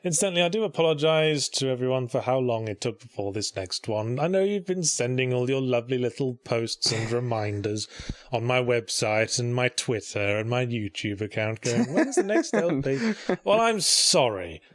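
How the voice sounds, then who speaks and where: A man's voice: medium pitch, moderate pace, dry recording, studio quality. A man giving sarcastic, joking video game commentary alongside a co-host. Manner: sarcastic, sardonic.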